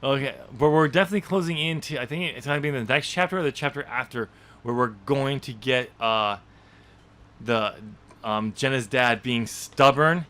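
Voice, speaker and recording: Guy hosting a podcast, bright tense voice, chatty and laughing, lots of uh and um. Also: mid-range voice, normal speed. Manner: chatty, laughs often, rambling with many fillers. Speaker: podcast host and author. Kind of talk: casual chat about his novel draft.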